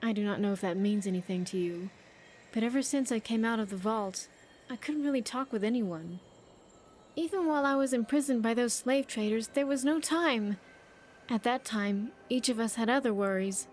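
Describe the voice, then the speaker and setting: A woman's voice: fairly high pitch, moderate pace, a little mellow, a little hiss or hum, close to an everyday voice. A woman voicing an earnest, grateful young survivor in a video game, chatty and sincere with slightly stilted phrasing. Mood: reflective, grateful. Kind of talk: video game character dialogue.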